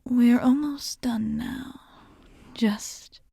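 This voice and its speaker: Female actor as calm vampire bartender, ASMR roleplay: mellow, whispery, unhurried, reassuring and formal. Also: a little high, no echo, full character voice. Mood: hushed, soothing. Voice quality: soft, breathy whispering. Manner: slow, soothing, formal and reassuring.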